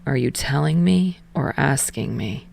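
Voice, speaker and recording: Audiobook romance role: teasing, quietly fond young man acted by a woman, low-pitched, murmured, dry delivery. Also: expressive, measured pace, gravelly, full character voice.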